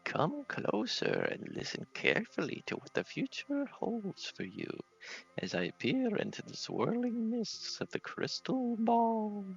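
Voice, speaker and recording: A man's voice: sits high, wildly swooping pitch, unhurried, vocal fry, slightly rough, dull audio, soft background music, voice pushed up, exaggerated voice. A man voicing a dramatic, fearful old female fortune teller from a fantasy game, theatrical and foreboding. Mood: mysterious, inviting. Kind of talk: fantasy game character voice in a read-aloud playthrough.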